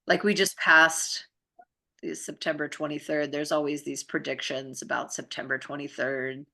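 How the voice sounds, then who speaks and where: A woman speaks in a low register with plenty of gravelly fry and a bright, forward edge. She chats casually and reflectively, rambling through her thoughts in trailing phrases. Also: little pitch movement, moderate pace. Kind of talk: casual conversational chat.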